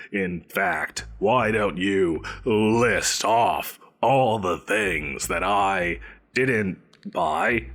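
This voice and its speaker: An actor playing a pompous, petulant supervillain boss in an audio roleplay, blustering theatrically and defensively. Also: male voice, low voice, fairly bright tone, full character voice. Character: pompous, petulant, evasive male supervillain employer. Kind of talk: scripted audio roleplay addressed to a listener.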